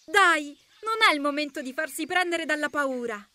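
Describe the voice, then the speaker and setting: A woman voicing a bossy, excitable, self-assured little female ladybird in a children's bedtime story. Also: sits high, moderate pace, no echo, pitched above the performer's own voice. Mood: confident.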